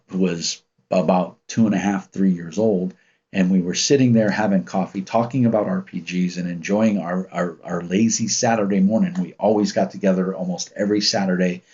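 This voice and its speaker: A man in his fifties from the United States with a deep, commanding voice, chatting casually on his livestream and telling stories in a rambling, relaxed way. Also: breathy edge. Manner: rambling, relaxed, with many ums and restarts. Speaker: channel host reading live chat. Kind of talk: casual livestream chat and storytelling. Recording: muffled lo-fi stream audio.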